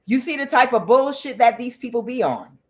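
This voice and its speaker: A woman doing a tarot reading on her own. She talks bluntly and swears often, questions the cards aloud, and fills her pauses with "uh". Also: on the high side, expressive. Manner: blunt and profane, with frequent "uh" fillers. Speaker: tarot reader. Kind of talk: solo tarot reading to camera.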